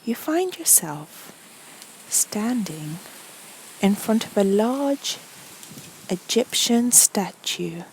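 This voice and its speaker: A woman narrating a guided meditation story in a soothing, deliberate way, with a soft, breathy voice that often nears a whisper and many pauses between short phrases. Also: mid-range voice, very animated, a little hiss or hum, low-quality recording.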